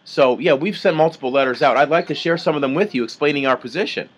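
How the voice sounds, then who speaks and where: A man's voice: a little high, quick pace, breathy edge. A man making an assertive, argumentative complaint on a phone call, speaking informally and indignantly. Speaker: complaining caller, authorized third-party contact.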